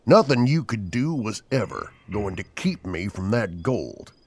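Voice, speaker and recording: An actor playing a ruthless, bitter outlaw leader in a horror western audio drama, threatening and cold. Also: male voice, fairly low pitch, big pitch swings, unhurried, heavily acted. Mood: bitter menace. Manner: threatening, cold, folksy cowboy speech.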